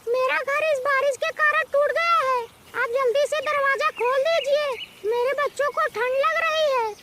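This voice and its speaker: A performer voicing an anxious, pleading mother bird in an animated moral story, over loud background noise. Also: very high-pitched, normal speed. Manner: worried, pleading.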